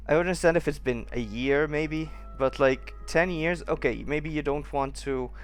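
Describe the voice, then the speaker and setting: A man's voice: on the high side, measured pace, soft background music. A man giving casual playthrough commentary as a gaming streamer, rambling and incredulous.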